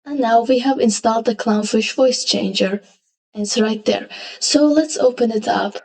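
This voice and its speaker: A woman narrating a casual step-by-step software tutorial as its presenter. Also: fairly high pitch, moderate pace, vocal fry, low-quality recording.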